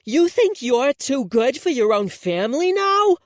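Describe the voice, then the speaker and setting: A man's voice: sits high, very animated, slow, darkish tone, no room sound, clean studio sound, voice pushed up, heavily acted. A man voicing a spoiled young woman who talks in a put-on childlike voice. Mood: indignant.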